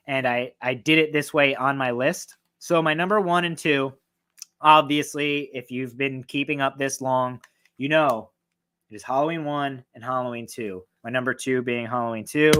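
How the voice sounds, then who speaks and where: A man with a mid-range voice talks through his favorite horror films, his throat going hoarse. He is openly excited and swears a lot, rambling with plenty of fillers. The tone is casual, like a fan chatting to his viewers. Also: medium pitch. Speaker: horror film reviewer. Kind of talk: casual film ranking talk.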